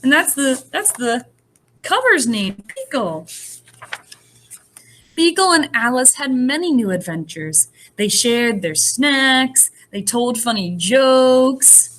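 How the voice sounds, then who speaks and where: A woman with a fairly high voice teaches first graders, reading aloud and explaining things to them. Her pitch moves around a great deal as she talks. Her manner is encouraging and clearly aimed at young children. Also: slow, a little hiss or hum. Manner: animated, encouraging, child-directed. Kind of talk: read-aloud and lesson addressed to young children.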